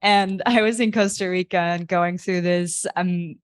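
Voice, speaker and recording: Candid storytelling woman from Austria, low voice. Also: a little slow, no echo. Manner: candid, animated storyteller, quotes her own thoughts. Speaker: podcast guest. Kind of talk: casual storytelling.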